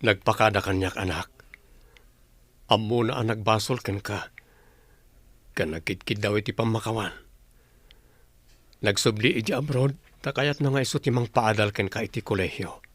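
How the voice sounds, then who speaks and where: A man's voice: fairly low pitch, moderate pace, long pauses, dry recording, natural voice. A man playing a soothing, earnest father and husband in a radio drama. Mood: earnest, reassuring. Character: gentle, apologetic poor father and husband.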